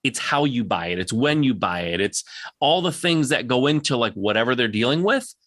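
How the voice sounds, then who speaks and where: Male podcast host, bright and driving tone, dry close sound, blunt coaching delivery that hammers key points. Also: mid-range voice, expressive, moderate pace, dry recording. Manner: emphatic, insistent, repeats points; direct, plain-spoken. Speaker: podcast host answering audience questions. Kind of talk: live Q&A coaching.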